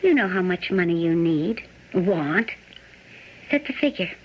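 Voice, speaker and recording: A woman's voice: lowish voice, lively intonation, a little slow, a little hiss or hum. An actress playing a shrewd, probing woman held hostage in a radio drama. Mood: reasoning, insistent. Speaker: actor. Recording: dull lo-fi radio broadcast.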